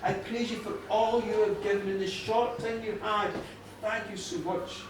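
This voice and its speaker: A man's voice: extremely high pitch, moderate pace. A man voicing a grieving, bitterly emotional widower in a told folk tale, declaiming emphatically with repeated phrases. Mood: grateful, sorrowful. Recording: distant mic, very echoey space, faint noise.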